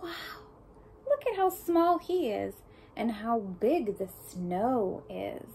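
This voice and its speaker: Female storybook reader for small kids: slow, very animated delivery with engaging questions, noticeable background noise. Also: fairly high pitch, creaky. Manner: expressive, questioning the listener, speaking as to small children. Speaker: storybook reader for young children. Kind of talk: read-aloud of a picture book with asides and questions to children.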